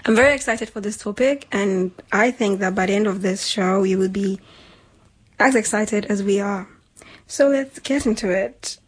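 Husky young woman, animated and slangy. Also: medium pitch, normal speed, rough recording. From Nigeria. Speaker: podcast co-host. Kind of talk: casual chat.

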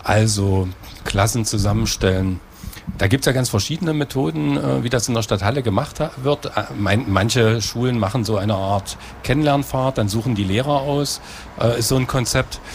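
A man's voice: medium pitch, moderate pace, a little hiss or hum. A man, a school head, answering interview questions in a conversational way, explaining at length.

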